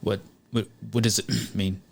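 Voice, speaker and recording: A man's voice: lowish voice, level tone, normal speed, gravelly. A man playing a worried brother looking for his missing sister in a tabletop roleplay, speaking hesitantly and with understatement. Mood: subdued.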